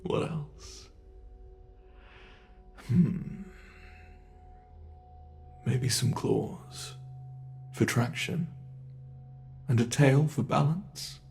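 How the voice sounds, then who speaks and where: A man voicing a sadistic male monster in an ASMR horror roleplay, talking in a slow, taunting way that relishes cruelty. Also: mid-range voice, gravelly, darkish tone, soft background music. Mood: playful, sadistic.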